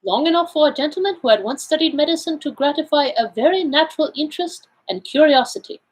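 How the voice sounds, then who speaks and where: A woman voicing a courteous, self-assured Belgian male detective in an audiobook, speaking in a deliberate, formal, explanatory way. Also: high-pitched, expressive, pitched above the performer's own voice. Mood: calm, assured. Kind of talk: audiobook character dialogue.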